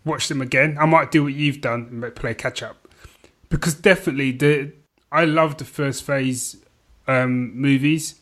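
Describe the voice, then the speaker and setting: A man's voice: mid-range voice, steady tone, moderate pace, a bit bright. A man co-hosting a podcast, chatting casually about films in a rambling, conversational way. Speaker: podcast co-host. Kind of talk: casual film chat.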